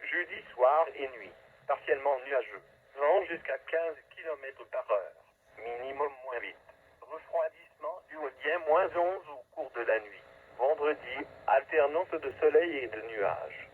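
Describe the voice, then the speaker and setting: A man's voice: medium pitch, expressive, unhurried. Tinny automated male weather radio announcer. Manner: clipped, segmented automated delivery. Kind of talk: scripted weather forecast reading. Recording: tinny phone-like weather radio, static noise.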